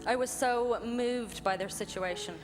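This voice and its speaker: A woman's voice: fairly high pitch, measured pace. Woman in her twenties, echoing hall. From Ireland. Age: 20s. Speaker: anti-trafficking worker, guest speaker. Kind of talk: personal testimony in short phrases for interpreter. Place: large event hall. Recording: hall reverb, music behind, live PA. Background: music.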